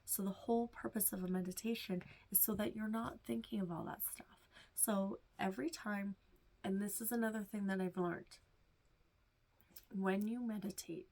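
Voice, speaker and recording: A woman with a stuffed-up, nasal voice gently teaching meditation to beginners, reassuring and sometimes dropping to a whisper. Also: medium pitch, vocal fry. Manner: soft, reassuring, drifts into whispers. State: stuffed-up nose. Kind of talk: casual instructional talk.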